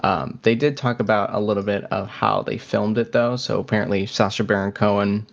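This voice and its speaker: Male podcast co-host, lower register, casual and halting, slightly lo-fi audio. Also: fairly even intonation, gravelly. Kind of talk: casual chat.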